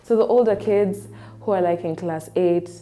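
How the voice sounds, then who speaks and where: A woman with a Kenyan accent, telling stories in a casual, rambling way with lots of fillers, lively when she recalls memories. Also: lowish voice, normal speed. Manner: chatty and rambling, with frequent "like" and "um". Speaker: singer-songwriter guest. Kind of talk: casual interview storytelling.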